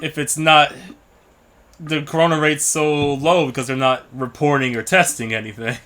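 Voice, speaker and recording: A man with a slightly high, sharp, bright voice talks as a podcast co-host. His chat is loose, blunt and profane, and he likes to act out how other people speak. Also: steady tone, normal speed. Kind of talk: casual chat.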